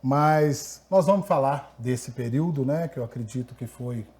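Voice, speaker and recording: A Brazilian male TV host asks leading questions in a mellow, mid-pitched voice. He often ends his remarks with a quick tag. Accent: Brazilian Portuguese. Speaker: TV sports show host. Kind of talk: TV interview.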